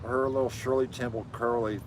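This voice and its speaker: A man's voice: medium pitch, little pitch movement, brisk, over a noisy crowd. A man co-hosting a casual outdoor live stream, cracking crude jokes and teasing his partner. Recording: noisy outdoor stream audio.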